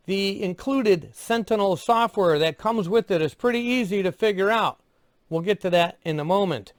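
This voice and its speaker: High-voiced man narrating a dry review. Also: no echo. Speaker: product reviewer. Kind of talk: conversational scripted review narration.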